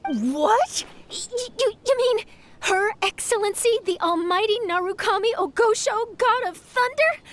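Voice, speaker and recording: A woman's voice: extremely high pitch, animated, measured pace, a bit bright, exaggerated voice. A woman voicing an eager, polite young female journalist in an anime game, easily flustered. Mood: flustered, awed.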